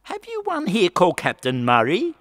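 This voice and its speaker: A man's voice: sits high, huge pitch swings, moderate pace, a bit bright, exaggerated voice. Man doing a defiant convict poet. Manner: earnest, indignant declaiming. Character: Frank, defiant male convict poet, bold and plainspoken. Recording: long reverb hall.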